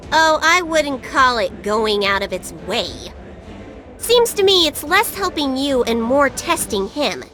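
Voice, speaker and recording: A woman's voice: high voice, big pitch swings, slow pace, pushed and bright, faint music behind, heavily acted. A woman playing a tiny, cheeky, bossy fairy guide in a video game, with a sassy, sing-song delivery. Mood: teasing. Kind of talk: video game cutscene acting.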